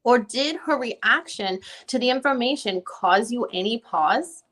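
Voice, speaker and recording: A woman's voice: fairly high pitch, animated, measured pace, fairly bright tone. A woman reading the part of a probing lawyer questioning a witness at a deposition. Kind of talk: dramatic reading of legal questioning.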